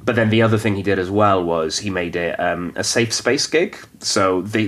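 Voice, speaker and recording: A man whose first language is Welsh, a comedian chatting casually on a podcast with quick, self-deprecating asides. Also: low voice, fairly bright tone. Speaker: comedian guest.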